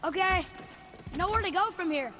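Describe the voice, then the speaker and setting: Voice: very high voice, moderate pace, noisy background. A performer playing an earnest, inquisitive young boy, a warrior's son, in a cinematic video game. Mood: curious, eager. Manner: inquisitive, earnest, plain short questions.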